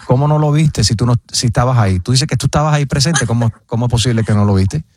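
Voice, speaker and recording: A man hosting a radio show, joking and teasing with deadpan questions. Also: mid-range voice, fast, breathy edge, dry recording. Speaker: radio host.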